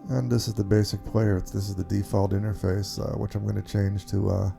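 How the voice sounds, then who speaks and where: Man with very creaky, flat voice. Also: on the low side, a little fast, soft background music. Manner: informal, unscripted step-by-step explaining. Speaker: tutorial presenter. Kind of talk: casual screen-recorded software walkthrough.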